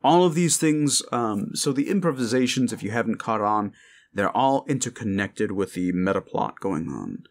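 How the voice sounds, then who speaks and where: A man's voice: lowish voice, lively intonation, normal speed. A man, a voice actor and channel host, chatting casually and earnestly to his listeners in an unscripted ramble.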